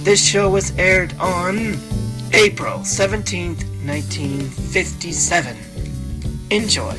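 A woman's voice: lowish voice, slow pace. A woman presenting an old-time radio programme with scripted introductions. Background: clear music.

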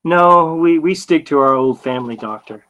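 A man's voice: a little high, normal speed, fairly bright tone, highs cut off, natural voice. A man plays a naive young husband in an old-time radio comedy. He is polite and easily flustered, tripping over his words and hesitating often. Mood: naive, puzzled. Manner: hesitant, stammering.

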